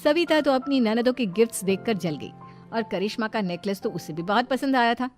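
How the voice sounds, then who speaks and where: A woman with a fairly high voice narrates a scripted cartoon family story. Music plays behind her storytelling. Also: animated, fairly quick.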